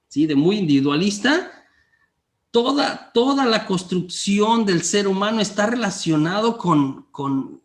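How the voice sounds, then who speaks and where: A middle-aged Mexican man from Guanajuato lecturing in a class, who repeats key phrases and keeps checking in with his listeners. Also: sits high. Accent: Mexican, mixed regional. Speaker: lecturer on theological anthropology.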